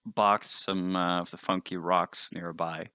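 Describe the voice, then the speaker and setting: A man's voice: deep voice, level tone, measured pace, pushed and bright, smooth, no room sound. A man playing a tabletop game, role-playing casually with a dry, wry manner. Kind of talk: casual role-play banter.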